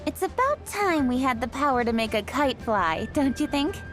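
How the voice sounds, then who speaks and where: An actress playing an earnest young official in a video game, speaking in a measured, explanatory way. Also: female voice, sits high, big pitch swings, soft background music. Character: young official woman, earnest, proud of tradition.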